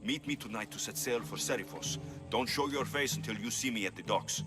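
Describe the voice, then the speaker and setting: An actor playing a tough, wry mercenary hero of ancient Greece in a video game, speaking dryly and bluntly. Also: male voice, medium pitch, a little fast, music in the background, natural voice. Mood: businesslike. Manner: dry, blunt, self-assured. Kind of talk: dramatic video game acting.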